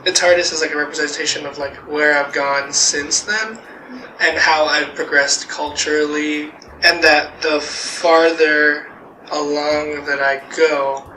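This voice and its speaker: A man's voice: on the high side, steady tone, a little slow, bright, forceful tone. A young man speaking thoughtfully and hesitantly, with many fillers, in a personal interview. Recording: echoey, noisy room.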